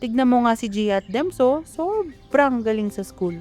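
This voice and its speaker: A woman's voice: fairly high pitch, lively intonation, unhurried, darkish tone, faint music behind. A woman with a Filipino accent playing a chatty, teasing middle-aged mother of three in an amateur radio drama. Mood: playful, nostalgic.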